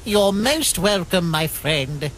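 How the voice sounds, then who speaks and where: Man as a proud innocent skeleton, theatrical, hearty, slow, animated pitch. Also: slight background noise, exaggerated voice. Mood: friendly, reassuring. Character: friendly, proud, innocent male cartoon skeleton. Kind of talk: animated comic dub acting.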